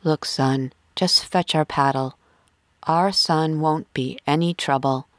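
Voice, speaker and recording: A woman's voice: sits low, takes it slowly, no room sound, clean studio sound, voice pushed down. A woman voicing a composed, patronising wealthy husband and father in an audiobook. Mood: condescending, composed. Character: smooth, condescending, unflappable wealthy husband and father.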